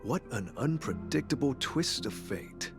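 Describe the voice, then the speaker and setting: A man voicing a polite, mysterious young man who tends graves in a game, courteous and softly formal, with game music behind. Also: mid-range voice, animated, unhurried. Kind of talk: game voice acting. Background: game background music.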